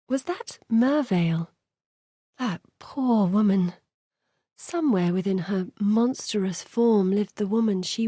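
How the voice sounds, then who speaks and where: A woman's voice: medium pitch, dark tone, slightly rough, no room sound, clean studio sound. An actress playing an earnest, curious woman scholar in a video game, articulate, thoughtful and dramatic in delivery. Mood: puzzled, uneasy, then saddened, appalled. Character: earnest, curious woman scholar guiding an exile through memories. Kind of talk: video game character voice acting.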